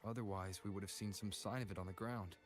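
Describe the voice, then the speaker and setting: An actor playing a calm, caring young male adventurer in a video game, measured and matter-of-fact. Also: on the low side, faint music behind, close to an everyday voice. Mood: calm, concerned.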